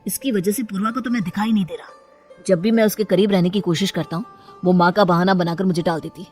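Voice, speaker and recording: A woman's voice: mid-range voice, fairly even intonation, fast, a little mellow, natural voice. Sulky, neglected young husband played by a woman, mid female-range voice, story drama over music. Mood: aggrieved. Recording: music under the voice.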